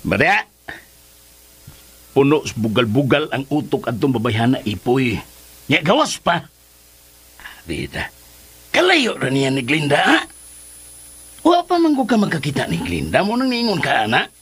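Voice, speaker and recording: Husky scheming villain, wildly swooping voice. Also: male voice, mid-range voice, wildly swooping pitch, fairly bright tone, heavily acted. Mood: scornful, incredulous. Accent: Filipino. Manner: slow, exaggerated, swinging delivery. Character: scheming lovestruck male villain plotting a kidnapping. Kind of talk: Filipino radio drama acting.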